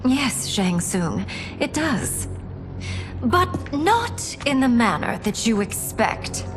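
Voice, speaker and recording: A woman's voice: on the high side, sing-song, takes it slowly. A woman playing a proud, cunning young warrior princess in a dramatic video game cutscene. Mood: coolly scheming. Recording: music behind the dialogue.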